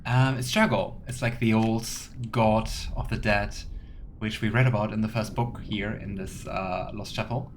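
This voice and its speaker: A man's voice: on the low side, fairly even intonation, moderate pace, a little hiss or hum. A man giving casual, chatty game commentary as a let's play streamer, sometimes reading game text aloud.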